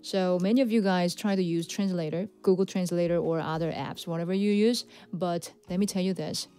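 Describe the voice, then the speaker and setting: A woman in her thirties, a native Korean speaker with a Korean accent, chatting casually with livestream viewers, laughing often and talking softly. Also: fairly low pitch, fairly even intonation, brisk, smooth, faint music behind. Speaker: livestream pianist host. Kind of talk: casual chat with viewers, reading donations. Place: cozy home music room with Christmas decorations. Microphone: studio condenser mic on stand.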